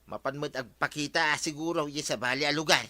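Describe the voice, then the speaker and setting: A man's voice: a little high, fairly even intonation, fast, sharp tone, dry recording. An actor with an Ilocano accent playing a nagging, gossipy village man in a radio comedy drama.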